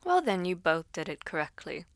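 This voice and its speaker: A woman playing a poised, secretive female being in an audio roleplay, speaking formally and coyly in a breathy half-whisper. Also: on the low side, big pitch swings, no echo. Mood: composed, teasing. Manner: slow, composed, formal, coy. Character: mysterious poised female alter-being within Serena, teasing, secretive. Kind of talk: audio roleplay acting, addressing the listener.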